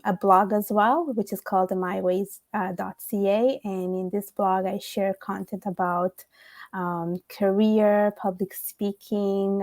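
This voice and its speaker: A young adult woman from Italy, a guest on a remote call, answering with measured phrasing, frequent fillers and small restarts. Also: mid-range voice, a little mellow, dry recording. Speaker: guest, author.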